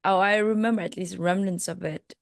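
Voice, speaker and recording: A woman's voice: mid-range voice, steady tone, a little fast, vocal fry, no room sound, studio quality. A woman from Malaysia with a Malaysian accent, a life coach telling her life story as a podcast guest, reflective and hesitant with many fillers.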